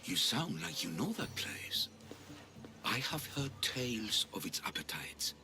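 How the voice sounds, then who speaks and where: An actor playing a dreamy, lyrical storyteller in a video game, savouring grand words. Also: male voice, lowish voice, big pitch swings, unhurried. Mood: knowing. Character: poetic male storyteller, dreamy and lofty. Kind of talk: video game cutscene acting. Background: loud music.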